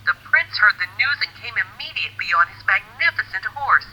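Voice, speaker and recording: A woman narrates a children's fairy tale with a very bright, forward voice. Her pitch moves in animated swoops as she phrases each line deliberately. The voice is slightly husky, on a somewhat dull, lo-fi recording. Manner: expressive, deliberate storybook delivery. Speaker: storyteller.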